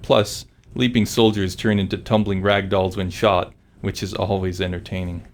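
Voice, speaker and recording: Slightly deep male developer commentator. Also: little pitch movement, normal speed. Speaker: game developer.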